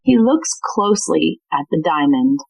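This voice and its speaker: A woman's voice: low voice, sing-song, normal speed, gravelly, a little mellow, slightly rough, no echo, muffled recording. A woman giving scripted narration of stage directions as the narrator of an audio play.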